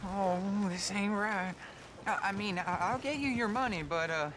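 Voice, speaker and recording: An actor playing a nervous apprentice undertaker in a western video game, hesitant and stammering. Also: male voice, high-pitched, normal speed, noisy background, close to an everyday voice. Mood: uneasy.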